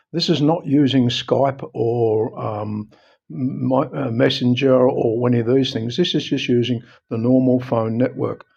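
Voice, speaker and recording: A man with an Australian accent talks through an informal how-to in a halting, explanatory manner. His voice is mid-pitched with a lot of gravelly creak, and he often repeats himself. The recording sounds a bit dull and muffled. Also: mellow. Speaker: presenter of a phone how-to video. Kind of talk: informal unscripted tutorial talk.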